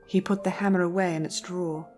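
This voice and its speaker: A woman reads scripted fiction as narrator. Her voice is on the low side and her delivery is measured and literary. Faint music plays behind her. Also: fairly even intonation, a little mellow.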